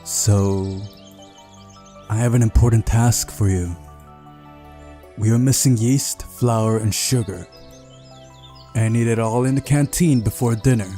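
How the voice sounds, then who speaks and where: Man as female camp leader, bossy, teasing, sly, mellow tone, visual novel game, music behind. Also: on the low side, a little slow, pauses often, close to an everyday voice. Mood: brisk, bossy.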